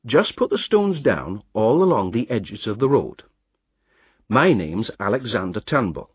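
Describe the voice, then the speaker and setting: A man's voice: mid-range voice, breathy edge, no room sound. Man doing an easygoing Scottish roadman. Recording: dull, dry recording.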